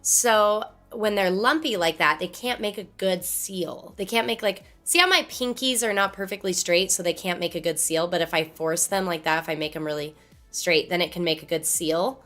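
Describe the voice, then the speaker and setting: A woman musician with a hoarse voice from laryngitis, chatting casually and rambling as she answers her livestream viewers. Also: lowish voice, normal speed, fairly bright tone, faint music behind. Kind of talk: casual chat with stream viewers.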